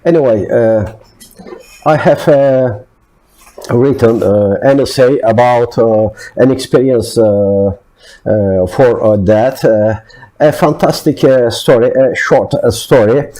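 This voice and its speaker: Hoarse Turkish-accented man, hesitant. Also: mid-range voice, slow, warm tone, low-quality recording. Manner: hesitant, many filler sounds. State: hoarse from a flu. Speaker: YouTube channel host. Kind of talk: halting spoken introduction.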